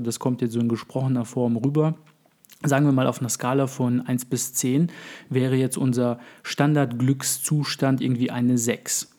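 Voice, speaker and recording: Man hosting a podcast, slightly hoarse. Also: mid-range voice, dark tone. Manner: thoughtful, explains at length with many fillers. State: under the weather, voice a bit hoarse. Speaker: podcast host. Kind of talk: casual podcast chat.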